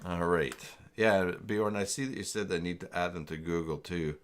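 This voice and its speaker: Male tutorial streamer, deep register, informal and halting, talks to himself and reads off the screen, often trailing off. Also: steady tone, slight background noise. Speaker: livestream host working through website email setup. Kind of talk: casual livestream tutorial, thinking aloud and reading from screen.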